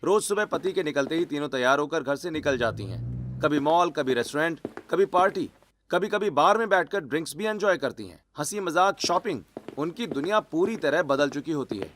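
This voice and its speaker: A man's voice: on the high side, expressive, brisk, a bit bright, a little hiss or hum. A man reading scripted narration for a cartoon family story. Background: background music.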